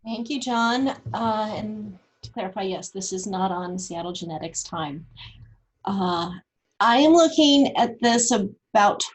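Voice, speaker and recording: Woman with slow, halting delivery. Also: medium pitch, animated, rough recording. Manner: halting, thinking aloud. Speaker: guest presenter explaining data; cancer research nurse. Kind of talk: informal data walkthrough.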